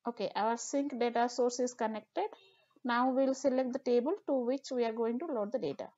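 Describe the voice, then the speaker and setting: A woman narrates a screen-recorded software tutorial, explaining each step with occasional fillers. Her voice sits a little high and mellow. The recording sounds dull and lo-fi. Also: moderate pace. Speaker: tutorial instructor.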